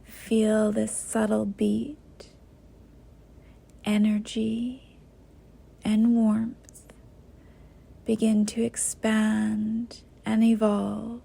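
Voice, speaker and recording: Soft, gravelly, very slow woman meditation guide. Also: mid-range voice, completely flat delivery. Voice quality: soft, breathy, half-whispered. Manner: gentle, unhurried, with long pauses. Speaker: yoga nidra guide.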